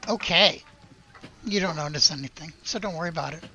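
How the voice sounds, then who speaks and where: A woman with a slightly husky, gravelly voice running a tabletop roleplaying game as game master, moving between casual talk and read-aloud narration. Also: low voice, a little fast, a crowd in the background.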